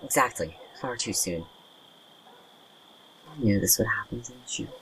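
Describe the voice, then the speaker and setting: A very low-voiced, weary young friend. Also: female voice, lively intonation, measured pace, fairly bright tone, a little hiss or hum, full character voice, poor audio. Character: tired, guarded, wry young friend Salem. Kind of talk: naturalistic audio drama acting.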